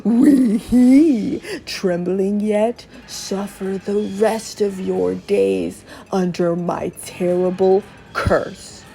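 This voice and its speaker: Lady doing an evil gleeful monster from a game chest, taunting, low creaky slow drawl, cackly, music behind. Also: mellow. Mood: sinister, gleeful. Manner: taunting, gloating, drawn-out cackles and chortles. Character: gleeful evil cursed creature from a chest, mocking trickster. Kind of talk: dramatic video game dialogue reading.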